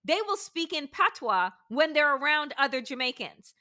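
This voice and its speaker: A woman's voice: sits high, very animated, moderate pace, sharp tone. A woman hosting a casual political chat, speaking emphatically and frankly. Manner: emphatic, forthright commentary. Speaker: podcast host.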